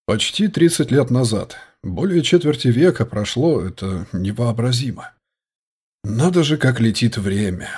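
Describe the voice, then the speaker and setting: A man's voice: mid-range voice, lively intonation, unhurried, darkish tone, husky. A man reading the part of an older retired police colonel, musing and nostalgic, in an audiobook. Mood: musing, uneasy.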